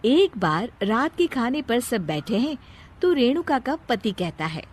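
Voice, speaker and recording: A woman's voice: a little high, big pitch swings, unhurried, husky. A woman narrating a scripted cartoon family story as storyteller.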